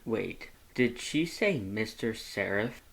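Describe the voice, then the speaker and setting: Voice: medium pitch, lively intonation, slow, creaky. Narrator acting a grumpy male character, huffy, exasperated, introverted, story dialogue. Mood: disbelieving.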